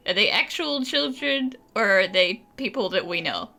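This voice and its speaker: A woman's voice: medium pitch, animated, moderate pace, vocal fry, sharp tone. A woman hosting a game playthrough, giving casual, wry, questioning commentary. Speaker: gaming video host.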